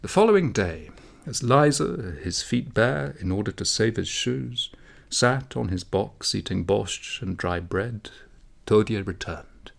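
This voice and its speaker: A man reading a comic folk tale aloud as a storyteller, in a measured, expressive way. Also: lowish voice, vocal fry. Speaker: audiobook reader, storyteller.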